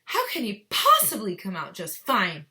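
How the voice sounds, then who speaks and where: A woman's voice: medium pitch, huge pitch swings, moderate pace, pushed and bright, heavily acted. A woman voicing a panicky, bossy mother who screams and snaps indignantly in a children's storybook read-aloud. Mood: angry, indignant.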